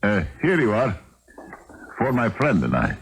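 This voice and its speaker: Deep-voiced, shifty, nervous traveling man. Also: wildly swooping pitch, measured pace, slightly rough, full character voice. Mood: nervous bluffing. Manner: hesitant, stammering, falsely casual. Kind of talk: 1970s radio drama acting. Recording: noisy 1979 radio broadcast recording.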